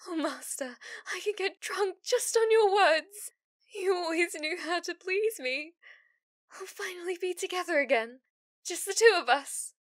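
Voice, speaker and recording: A woman playing a possessive, devoted fox-spirit familiar in an ASMR roleplay, speaking intimately and often slipping into breathy whispers. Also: extremely high pitch, animated, measured pace, dry recording, clean studio sound. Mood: giddy, adoring. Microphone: close studio microphone, dry.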